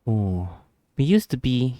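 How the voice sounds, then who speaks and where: A man's voice: mid-range voice, big pitch swings, measured pace, warm tone, dry recording, clean studio sound. A man giving casual gameplay reaction commentary, gushing and easily awed. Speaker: gameplay video host. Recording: game music behind the voice. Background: game music.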